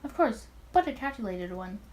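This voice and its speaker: A woman's voice: medium pitch, sing-song, moderate pace, a little mellow, slight background noise, natural voice. A woman voicing an arrogant, charming male mafia boss from the 1920s in a visual novel. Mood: confident.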